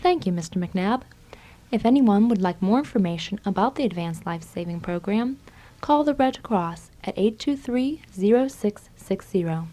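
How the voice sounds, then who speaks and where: A woman reading scripted lines as a radio host, in a formal, measured way. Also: mid-range voice, animated, warm tone. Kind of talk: scripted college radio interview and announcing.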